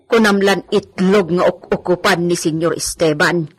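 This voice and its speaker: A woman's voice: on the low side, steady tone, moderate pace, close to an everyday voice. An actress playing a chatty, concerned household helper woman in a village radio drama, speaking in a folksy, gossipy manner. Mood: worried gossip.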